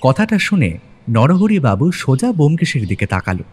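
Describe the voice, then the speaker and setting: A man's voice: mid-range voice, very animated, moderate pace, slightly rough. A man narrating a scripted detective story in a dramatic storytelling style.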